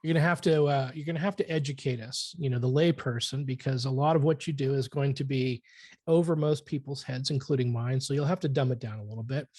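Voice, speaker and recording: A man's voice: mid-range voice, fairly even intonation, fast talker, darkish tone, no room sound. A man hosting a podcast interview in a friendly, self-deprecating way. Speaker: podcast host.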